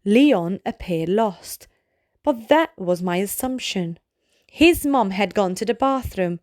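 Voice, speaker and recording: A woman reading a children's storybook aloud in a measured way, pausing phrase by phrase. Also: mid-range voice, expressive, a little mellow, no echo, clean studio sound. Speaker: read-aloud narrator of a children's book. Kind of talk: slow read-aloud of short storybook pieces.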